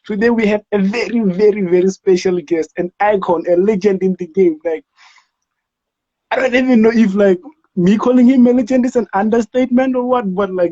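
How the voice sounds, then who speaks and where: A man's voice: high-pitched, mellow, highs cut off. A man hosting an interview, talking in a casual, slangy, hyped-up way. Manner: slangy, hyped-up, says bro and like. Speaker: interview host.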